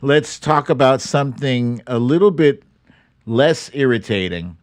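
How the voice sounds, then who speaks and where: A man hosting a livestream, giving informal, wry and halting commentary as he chats with viewers. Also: mid-range voice, no room sound. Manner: informal, wry, halting delivery with occasional swearing. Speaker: livestream host. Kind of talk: casual live commentary, reading viewer comments.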